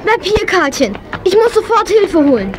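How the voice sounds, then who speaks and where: A woman's voice: extremely high pitch, big pitch swings, moderate pace. An actress playing a spirited, horse-loving young girl in a German children's audio drama. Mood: alarmed.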